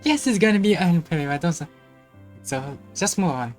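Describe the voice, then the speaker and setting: A woman's voice: lowish voice, animated, moderate pace, a little mellow, soft background music. A woman giving casual live commentary as a game streamer.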